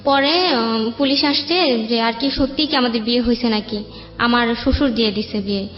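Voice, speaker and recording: A woman's voice: fairly high pitch, moderate pace. A young woman with a Bangladeshi accent recounts her life in a colloquial, rambling way as an interview guest. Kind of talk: personal storytelling in an interview. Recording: dull roomy sound, background music.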